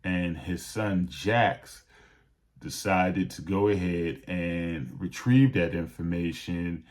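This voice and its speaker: Give the host a low-pitched male voice. He talks casually to his viewers about sports, picking through his points in a deliberate, halting manner. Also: darkish tone.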